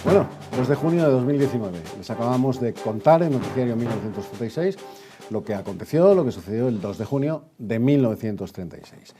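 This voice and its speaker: A man's voice: medium pitch, lively intonation, fast, music in the background. A man with an accent from Spain, presenting news as a bulletin host.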